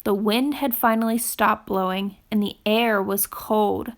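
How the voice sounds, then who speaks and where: A woman reading a children's storybook aloud in a careful, gentle, expressive storytelling style. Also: medium pitch, measured pace. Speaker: storytime reader.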